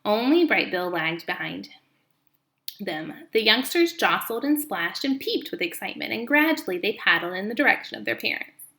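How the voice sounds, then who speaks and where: Female read-aloud narrator for children's fiction, lively and expressive intonation, mid-range voice, roomy indoor sound. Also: medium pitch, moderate pace. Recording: echoey room.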